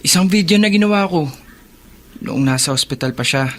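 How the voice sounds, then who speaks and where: An actor playing a guilt-laden, earnest older brother who pleads gently in a Filipino radio drama. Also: male voice, a little high, expressive, brisk, natural voice. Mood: pleading.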